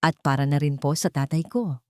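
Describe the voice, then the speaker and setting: A woman's voice: deep voice, a little fast, darkish tone, smooth, dry recording, clean studio sound, close to an everyday voice. A woman voicing a respectful, earnest young male driver in an audio storybook, speaking politely. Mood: wistful. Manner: polite, deferential.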